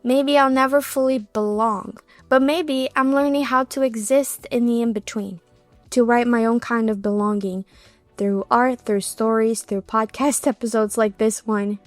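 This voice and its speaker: A young woman from Brazil hosting a solo podcast, talking in a gentle, heartfelt, confiding way about her life abroad. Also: a little high, fairly even intonation, measured pace, no echo, soft background music. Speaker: podcast host. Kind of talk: reflective solo podcast monologue.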